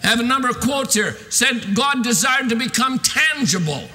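A man in his sixties preaching a fervent sermon in an emphatic, declamatory way with drawn-out stressed phrases. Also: very high voice, animated, bright, forceful tone. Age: 60s. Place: large church sanctuary, at a pulpit. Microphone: podium microphone. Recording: reverberant hall sound.

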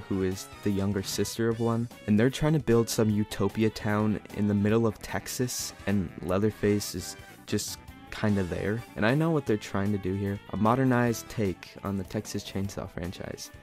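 A man's voice: fairly low pitch, darkish tone. A man giving a scripted, sarcastic movie review, talking in an exasperated, critical way.

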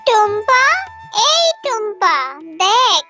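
A woman's voice: extremely high pitch, lively intonation, takes it slowly, dull audio, full character voice. A woman voicing a sweet, eager young fox girl in a children's cartoon, earnest and childlike. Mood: earnest, cheerful. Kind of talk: Bengali children's cartoon dialogue. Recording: music clearly behind the voice.

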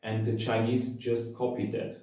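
A man in his thirties speaks slowly and casually to camera. His voice is mellow and fairly low, heard from a little distance in a very echoey room. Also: monotone. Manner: spells out call signs in phonetic alphabet. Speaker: amateur radio operator and vlogger. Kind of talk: radio contact calls and casual talk to camera. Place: outdoors in open countryside, later a bare indoor room. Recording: windy noisy field or echoey room, distant.

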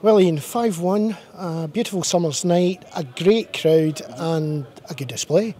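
A man's voice: on the high side, measured pace, warm tone. A man interviewing football managers for a club's media channel, asking friendly leading questions. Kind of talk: post-match interview questions.

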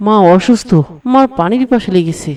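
A woman's voice: medium pitch, expressive, a little fast, darkish tone, close to an everyday voice. A woman playing a dutiful, earnest young boy in a moral cartoon, speaking in a caring way and thinking aloud. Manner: earnest, talks to himself aloud. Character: dutiful young boy devoted to his sick mother. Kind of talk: Islamic moral cartoon dialogue.

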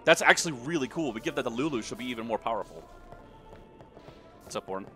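A man giving casual, crude and excitable commentary as he hosts a video game playthrough. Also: medium pitch, fast talker, faint music behind. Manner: crude, sweary, excitable reactions. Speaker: let's play host. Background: video game audio.

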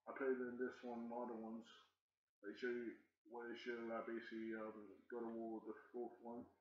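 A young man in his twenties reacts to a game trailer at home, his talk halting and looping back on itself as he gushes. His delivery stays flat and narrow in pitch. The recording is roomy and a little muffled. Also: slight background noise. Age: 20s. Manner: repetitive, excited, halting with many restarts. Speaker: gaming reaction host. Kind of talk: rambling casual reaction commentary. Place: indoor room at home.

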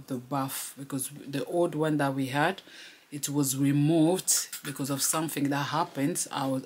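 A woman's voice: deep voice, slight background noise. A woman in her thirties, originally from DR Congo, chatting casually to camera in a vlog from a small room at home, often repeating her phrases and laughing between lines. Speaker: vlogger. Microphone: camera close to the face.

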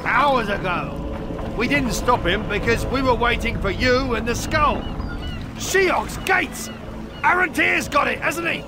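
High-voiced loyal soldier. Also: male voice, animated, a little slow. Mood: worried. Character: anxious, loyal male soldier follower of lord. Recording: music behind the voice.

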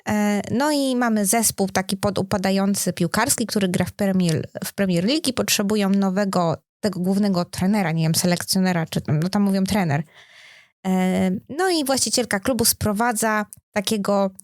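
A woman's voice: mid-range voice, normal speed, a little mellow, dry recording, studio quality. A woman co-hosting a podcast in casual conversation, chatty and informal, thinking aloud as she talks. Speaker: podcast co-host.

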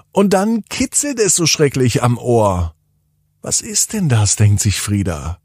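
A man narrating a bedtime story for children in an expressive, playful way, drawing out words and pausing for effect. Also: mid-range voice, husky, no echo, studio quality. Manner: deliberate, playful, with drawn-out words and dramatic pauses.

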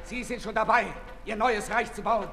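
An actor playing an ailing, embittered revolutionary who exhorts the people with urgent declamation in an old radio play. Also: male voice, high-pitched, steady tone, moderate pace, bright, forceful tone. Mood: frantic alarm. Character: fiery ailing revolutionary orator, fervent and embittered. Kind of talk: 1960s German radio play acting. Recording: 1960s radio recording, reverberant hall, hissy.

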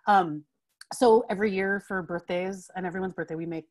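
A woman's voice: fairly low pitch, fairly even intonation, a little fast. Woman in her forties, guest on a home video call, earbud mic, talkative, laughs a lot, lots of fillers. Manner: chatty, laughs often, many fillers and restarts. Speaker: interview guest, writer. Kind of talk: casual video call conversation. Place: home room with bookshelves. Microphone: wired earbuds on a video call.

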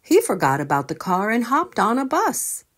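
A woman's voice: fairly high pitch, normal speed, husky. A woman reading a children's storybook aloud, expressively, as a storyteller addressing young listeners. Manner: expressive, asking listeners questions.

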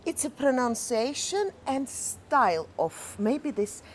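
A woman's voice: high-pitched, very animated, slow, a little hiss or hum. A woman chatting casually as a guest on a hotel terrace at night, searching for her words in non-native phrasing. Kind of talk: casual chat. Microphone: clip-on mic.

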